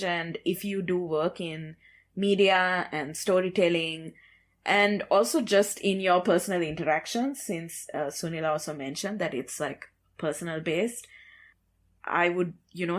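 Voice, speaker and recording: A woman journalist speaking reflectively on a discussion panel, choosing her phrasing with some hesitation. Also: fairly low pitch.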